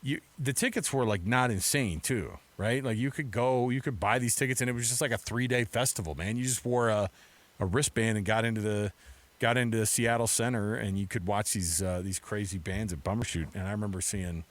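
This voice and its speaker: A man's voice: lowish voice, a little fast. A middle-aged American man hosting sports radio in a casual, chatty, rambling way from a studio mic. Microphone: close studio microphone.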